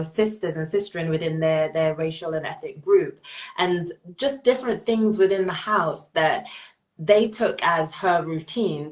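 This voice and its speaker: A woman with a lowish voice hosts a conversation over a video call. She muses thoughtfully and reflectively, often adding little affirmations as she goes. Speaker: host. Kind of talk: hosted conversation.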